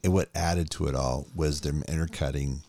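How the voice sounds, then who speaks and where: A man co-hosting a casual movie podcast, retelling scenes with animated play-acting. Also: a bass voice, moderate pace, gravelly, dry recording, clean studio sound. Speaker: podcast co-host.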